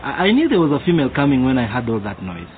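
A man's voice: medium pitch, sing-song, racing, phone-quality sound, noisy background, lightly acted. An actor playing an attentive, affectionate father in a radio drama. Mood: affectionate, relaxed.

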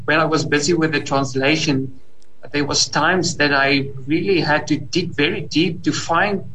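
A man's voice: fairly high pitch, sharp tone, a little hiss or hum, rough recording. A man from South Africa's Free State with an Afrikaans accent, a native Afrikaans speaker translating, talking in a hesitant, self-deprecating way. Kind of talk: panel discussion.

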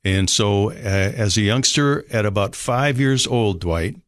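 An older man from Minnesota with a Minnesota accent, telling his life story as a guest in an unhurried, polite way. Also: fairly low pitch, no echo, studio quality. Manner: unhurried, polite, frequent fillers. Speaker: rescue mission director, guest. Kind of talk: relaxed storytelling interview.

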